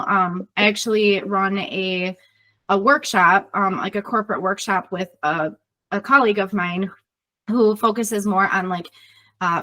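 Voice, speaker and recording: A woman's voice: mid-range voice, pushed and bright. A middle-aged woman consultant talking in a conversational interview, explaining earnestly with everyday example questions. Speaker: leadership consultant and podcast co-host, being interviewed.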